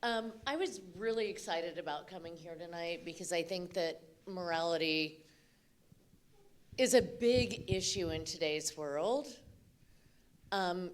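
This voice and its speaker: A woman's voice: fairly low pitch, a little slow. A woman speaking informally and candidly as an audience member, putting an unscripted question to a panel with emphasis. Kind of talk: spontaneous spoken question to a panel.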